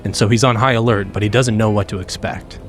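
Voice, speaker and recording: A man who is a player in a tabletop roleplaying game, describing his character in a halting way. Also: on the low side, fairly even intonation, faint music behind.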